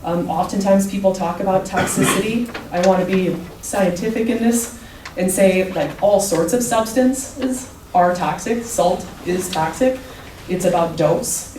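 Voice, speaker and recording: A woman from the Midwest giving public comment at a council meeting, firm and deliberate. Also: lowish voice, dark tone. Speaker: resident speaking at public comment. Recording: echoey room, distant mic, faint noise.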